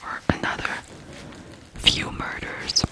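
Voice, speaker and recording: An ASMR host from Ukraine narrating true crime in a hushed, deliberate way, pausing between short phrases.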